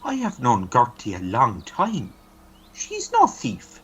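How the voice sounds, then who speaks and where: A man's voice: medium pitch, wildly swooping pitch, slow, highs cut off, heavily acted. A man acting an easygoing, dismissive Irish village policeman in an amateur radio play, breezy and placating. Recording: faint background hiss.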